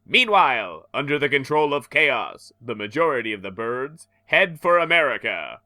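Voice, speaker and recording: A man's voice: mid-range voice, big pitch swings, pushed and bright, no echo, studio quality. A man reading a comic book aloud on a comedy podcast, breaking into laughs and jokes between lines. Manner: slow narration broken by laughs and jokes.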